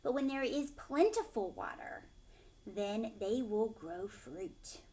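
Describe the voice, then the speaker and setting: A woman with a slightly high voice reads to children as their teacher. She takes her time, stresses key words and puts questions to the listeners in an expressive way. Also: takes it slowly, creaky, poor audio. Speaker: teacher reading aloud to children. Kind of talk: children's storybook read-aloud with questions.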